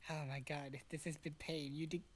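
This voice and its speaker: A woman's voice: sits low, fairly even intonation, normal speed. A woman hosting and narrating a casual amateur story reading, blunt, bossy and sweary between lines. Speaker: host and narrator.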